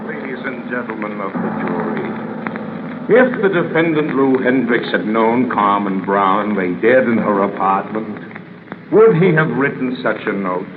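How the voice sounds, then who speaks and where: An actor playing an impassioned defense counsel addressing a jury, theatrical 1940s radio oratory on a muffled, noisy old recording. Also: male voice, lowish voice, animated, unhurried. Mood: impassioned, earnest.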